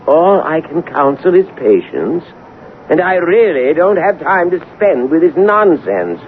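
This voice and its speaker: Pompous, swooping high official. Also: male voice, big pitch swings, measured pace, slight background noise. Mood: dismissive. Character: pompous, dismissive male inspector general. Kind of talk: 1970s radio drama acting. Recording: tinny old radio broadcast.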